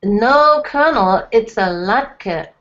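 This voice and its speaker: A woman's voice: fairly high pitch, slow. A woman voicing a harried middle-aged father, placating and blustering, on a slightly dull lo-fi recording. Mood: exasperated yelling. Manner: placating, then yelling with exasperation.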